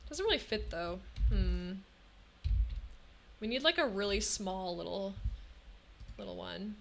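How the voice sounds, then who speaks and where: A woman's voice: medium pitch, slow pace, creaky, slight background noise. Young woman streamer thinking aloud. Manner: chatty, thinking aloud with frequent hmms and ums. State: throat feels scratchy and hard to swallow. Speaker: game streamer. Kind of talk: casual live-stream commentary while building in a game.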